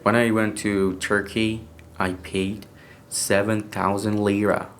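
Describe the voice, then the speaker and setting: A young man with an Iranian accent talks slowly through a personal story in English, his second language, stopping and restarting often. His voice sits fairly low, and a faint hum of ambient noise hangs behind him. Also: flat delivery, fairly bright tone. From Iran. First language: Persian. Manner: halting, hesitant English with many restarts and fillers. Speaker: podcast speaker.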